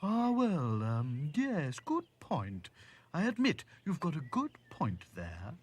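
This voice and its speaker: A slow, hesitant giraffe from a children's storybook, acted out by a man. He is polite yet a little suspicious, drawing out his phrases with a very animated rise and fall. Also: on the high side, slightly rough, pitched above the performer's own voice, heavily acted. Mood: apologetic. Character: mildly surprised male giraffe, suspicious but polite.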